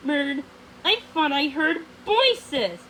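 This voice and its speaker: A woman's voice: sits high, sing-song, extremely slow, a bit bright, slight background noise, heavily acted. A woman voicing a young storybook princess who is defiant and brave, at times flustered.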